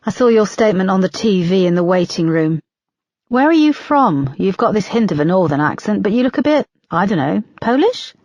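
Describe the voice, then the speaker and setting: A woman's voice: medium pitch, sing-song, a little fast, a little mellow, no room sound, muffled recording. A woman reading an audiobook, voicing a blunt, sarcastic female detective born in London with a broken nose. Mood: wry.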